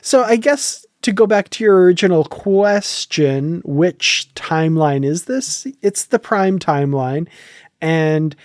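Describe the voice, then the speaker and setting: A man's voice: fairly high pitch, a little mellow, dry recording, clean studio sound. A man hosting a casual fan podcast discussion, chatty and thinking aloud as he goes. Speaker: podcast host.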